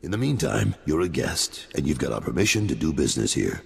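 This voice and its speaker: A man's voice: very deep voice, very animated, fairly quick, vocal fry, slightly rough, long reverb tail, exaggerated voice. A man voicing a blunt, wary middle-aged crime lieutenant in a video game cut-scene. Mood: weary. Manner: blunt, warning.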